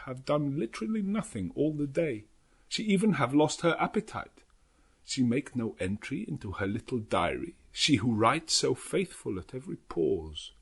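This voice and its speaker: Earnest old professor, swooping intonation. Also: male voice, medium pitch, creaky, breathy edge, exaggerated voice. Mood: grave, concerned. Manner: halting, non-native English phrasing, deliberate and dramatic. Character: elderly foreign professor, earnest, grave, devout vampire hunter. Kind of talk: dramatic audiobook narration of a journal.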